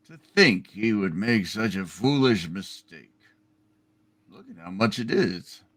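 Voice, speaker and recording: A man's voice: fairly low pitch, lively intonation, takes it slowly, long pauses, a bit bright, voice pushed down, heavily acted. A man playing a scheming, imperious rich villain with a haughty, menacing delivery in a hammed-up radio play. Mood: gloating.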